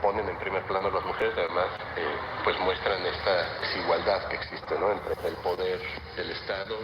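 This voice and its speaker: A man's voice: low-pitched, fairly even intonation, a little fast. A man in a radio discussion, heard through a shortwave broadcast. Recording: muffled shortwave radio with loud static.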